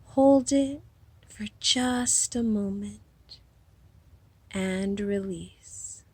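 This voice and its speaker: A woman leading a guided hypnosis meditation in a soothing, unhurried manner, with a breathy, soft-edged voice and long pauses between phrases. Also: medium pitch, no room sound.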